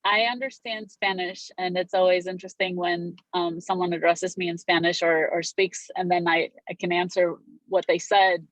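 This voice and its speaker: A woman's voice: lowish voice, fairly even intonation, normal speed, dry recording, low-quality recording. A middle-aged woman born in Romania, a realtor guest chatting casually in an interview, gracious and full of fillers.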